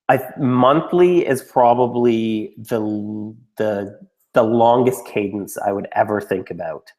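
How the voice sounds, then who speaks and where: A man getting over a cold, a little raspy and snuffly, answering student questions in a casual, frank Q&A. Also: medium pitch, poor audio. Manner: blunt, frank, with mild swearing and restarts. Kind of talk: casual Q&A talk.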